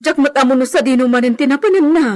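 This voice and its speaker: Anxious, higher-voiced village woman played by a woman. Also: fast talker, a little mellow, lightly acted. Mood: anxious, pleading. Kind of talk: Ilocano radio drama acting.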